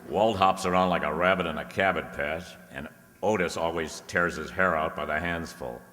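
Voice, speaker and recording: Man acting a low-voiced wisecracking private eye, echoey hall. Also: fairly even intonation, normal speed, bright, forceful tone. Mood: wry. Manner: wry, hardboiled patter. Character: wisecracking male private detective, dry, streetwise, soft-hearted. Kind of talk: old-time radio detective drama acting and narration. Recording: echoey hall, long reverb.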